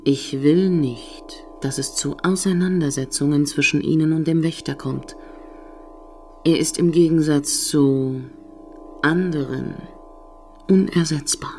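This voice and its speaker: A woman playing a cool, formal, protective space-station computer in a science-fiction audio drama, speaking in measured, officious phrases. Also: low-pitched, darkish tone, faint music behind. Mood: firm, guarded.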